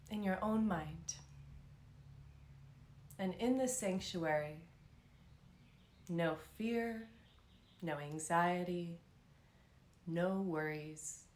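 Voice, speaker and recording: Woman guiding meditation in an echoey room. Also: fairly low pitch. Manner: calm, soothing, unhurried with long pauses. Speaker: meditation and yoga instructor. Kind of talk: guided meditation, unscripted live instruction.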